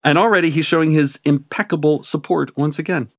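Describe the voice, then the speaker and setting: A man's voice: on the high side, fairly even intonation, brisk. A man reading a comic story aloud live, with playful, amused narration. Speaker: story reader. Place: stage before a live audience.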